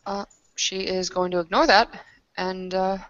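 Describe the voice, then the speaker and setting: A woman's voice: mid-range voice, fairly even intonation, measured pace, noisy background. A woman taking part in a tabletop roleplaying game, casually narrating her character in hesitant, piecemeal phrases. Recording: dry, slightly muffled voice-chat audio.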